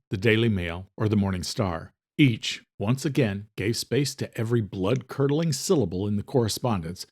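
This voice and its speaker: A man narrating a scripted horror thriller audiobook in a measured, dramatic storytelling manner. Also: medium pitch, a little mellow.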